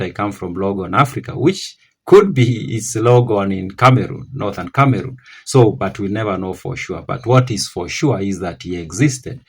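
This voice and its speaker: A man hosting a history channel, talking it through off the cuff, often stopping to correct himself. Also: fairly low pitch. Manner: rambling, self-correcting, thinking aloud. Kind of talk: unscripted history talk to camera.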